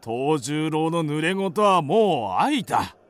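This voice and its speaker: An actor playing a wry, teasing old-time kabuki player in a radio drama, speaking in theatrical archaic phrases. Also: male voice, on the high side, big pitch swings, slow. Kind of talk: period Japanese radio drama acting.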